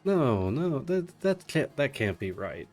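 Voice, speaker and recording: A man's voice: medium pitch, big pitch swings, slow, darkish tone. A man commentating a live speedrun in a casual, playfully sarcastic way. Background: game music and dialogue.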